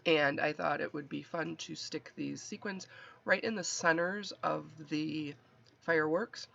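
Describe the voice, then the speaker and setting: A man's voice: fairly high pitch, steady tone, unhurried, creaky, bright, forceful tone. A man with a nasal, congested, stuffy voice, chatting and rambling as he explains making a card at a craft desk. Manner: chatty, rambling, self-correcting. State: allergies, stuffy. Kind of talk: casual craft tutorial narration. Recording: dull lo-fi audio.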